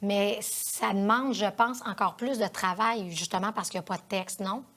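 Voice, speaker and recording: A woman's voice: mid-range voice, fairly even intonation, moderate pace, a bit bright. A woman with a Quebec French accent interviewing a guest as host of a TV show, in a conversational studio style.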